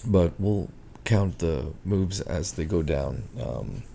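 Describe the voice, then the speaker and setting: A man with a very low, gravelly voice talks slowly through trading charts. He sounds hoarse and pauses often to think aloud. Faint background noise sits under the recording. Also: expressive, warm tone. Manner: slow, thinking aloud with many ums. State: hoarse, clearing his throat. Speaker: market analyst. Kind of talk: screen-share chart walkthrough.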